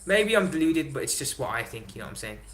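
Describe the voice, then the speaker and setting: A young man hosting a casual live stream, reading chat aloud and answering in an excitable way, swearing and dropping slang. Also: mid-range voice, fast, a little hiss or hum. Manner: excitable, swears, London slang like innit and big up. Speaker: livestream host reading chat.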